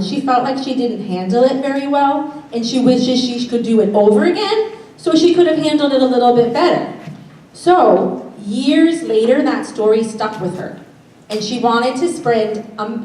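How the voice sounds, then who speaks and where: A woman teacher addressing young children before a read-aloud, asking simple questions and explaining deliberately. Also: a little high, dark tone, far from the mic. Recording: echoey room from afar, faint noise.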